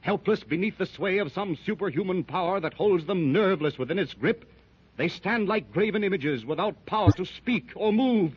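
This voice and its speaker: A man's voice: sits high, animated, normal speed, breathy edge. A man narrating a 1930s radio adventure serial in a vivid, suspenseful style. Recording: dull lo-fi 1930s radio transcription.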